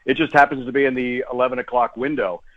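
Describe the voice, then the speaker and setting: A man's voice: medium pitch, fairly even intonation, fast. A man who works as a sports broadcaster, giving conversational analysis as a radio guest over the phone, emphatically repeating phrases. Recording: tinny, phone-like guest line.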